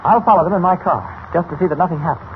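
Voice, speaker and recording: An old, scratchy, narrow radio recording carries a man playing an assured reporter hero. He talks fast in a high male voice, confident and brisk in 1940s adventure serial style. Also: close to an everyday voice.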